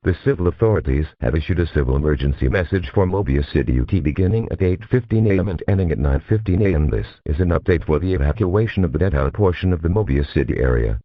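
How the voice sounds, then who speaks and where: A man's voice: low voice, animated, fast talker, hardly any pauses, dry recording. A man reading a scripted emergency alert announcement in a formal, impersonal manner. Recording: narrow phone-like alert audio.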